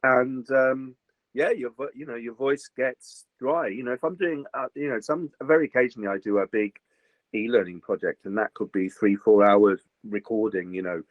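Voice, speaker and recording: An older man, a voice actor chatting in an interview, slightly gruff, rambling with many fillers and restarts. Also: medium pitch, normal speed, dry recording, lo-fi sound. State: slightly gruff after a recent virus. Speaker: voice actor guest. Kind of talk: casual interview chat.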